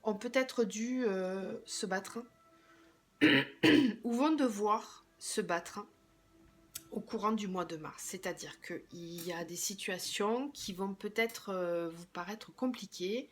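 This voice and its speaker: A slightly hoarse woman doing a tarot reading, thinking aloud hesitantly and often trailing off into a quieter voice. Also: medium pitch, takes it slowly, faint music behind. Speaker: tarot card reader.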